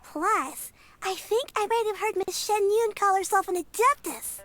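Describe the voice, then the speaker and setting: A cheerful, earnest young girl who chatters eagerly in a very high voice. Faint music plays underneath. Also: normal speed, fairly bright tone, no echo. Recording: game audio with faint music.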